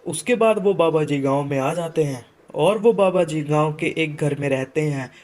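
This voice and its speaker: Slightly high-voiced male story narrator. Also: moderate pace, a little mellow. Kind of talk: dramatic scripted storytelling narration.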